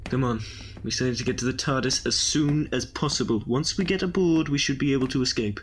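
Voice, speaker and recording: A man playing an ancient, quick-witted time traveller in an amateur audio drama. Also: medium pitch, a little hiss or hum, close to an everyday voice. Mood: urgent.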